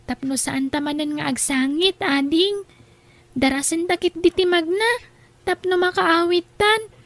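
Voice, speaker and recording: Woman doing a very high gentle young sister. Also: measured pace, dry recording, full character voice. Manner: gentle, earnest. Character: dutiful young woman, caring older sister. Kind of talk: radio drama acting.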